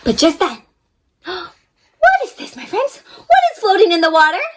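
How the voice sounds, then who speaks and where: A woman reading a storybook aloud to young children with animated, wide-eyed expression, guiding them directly as she goes. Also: extremely high pitch, a little slow. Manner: addresses young listeners directly with questions and sound effects. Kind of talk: interactive storybook reading and paper-folding instruction.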